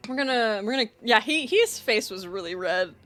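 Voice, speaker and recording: A woman game master narrates the scene in a casual, hesitant way, full of small fillers. Her voice sits a little high, and soft music plays in the background. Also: expressive, brisk.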